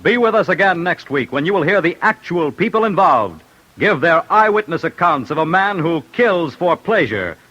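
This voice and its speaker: A man's voice: a little high, very animated, moderate pace. A man announcing in formal, scripted old-time radio style, with a projected delivery. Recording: muffled old radio broadcast with loud music. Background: loud music.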